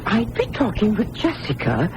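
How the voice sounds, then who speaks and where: A woman's voice: medium pitch, huge pitch swings, normal speed. A woman playing a worried, steadfast aunt in a 1980s radio drama, on a noisy old broadcast recording.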